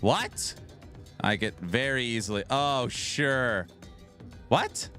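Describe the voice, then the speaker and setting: A man's voice: mid-range voice, slow, pushed and bright, soft background music. A man streaming live, joking and bantering with his chat in a mock-defensive way. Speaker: streamer reacting to a video.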